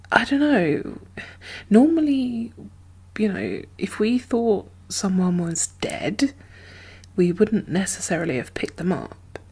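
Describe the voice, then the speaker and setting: Soft, breathy, slow-talking woman in ASMR roleplay. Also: fairly low pitch. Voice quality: soft, breathy, often whispered. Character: guarded, caring woman survivor of a zombie apocalypse. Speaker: ASMR performer playing a role.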